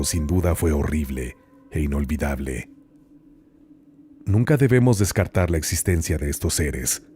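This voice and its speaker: A man narrating horror stories as host, in a slow, hushed, dramatic reading with long pauses. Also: sits low, a little hiss or hum.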